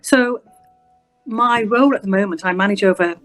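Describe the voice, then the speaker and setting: A woman in her fifties with a Yorkshire accent, recounting her working life as a guest on an interview show. She speaks in a measured, reflective way and pauses often on "um". Soft music plays faintly behind her. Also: medium pitch. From England. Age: 50s. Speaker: guest, senior prosecutor. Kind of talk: interview storytelling.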